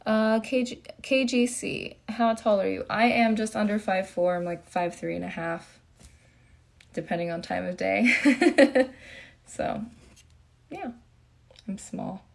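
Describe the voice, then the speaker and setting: A young woman from Japan talking casually on a live stream. Her voice has a noticeable vocal fry. She chats easily and answers viewers as she goes. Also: medium pitch, slow, long pauses. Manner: chatty and relaxed, with frequent fillers and laughs. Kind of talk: casual live Q&A chat, reading comments.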